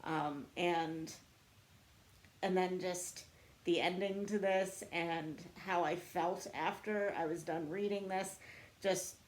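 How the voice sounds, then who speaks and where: A woman talks casually to camera as a book vlogger. Her voice sits a little low, and she takes her time with a slow delivery. She comes across as chatty and self-deprecating. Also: steady tone. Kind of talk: casual chat to camera answering book tag questions.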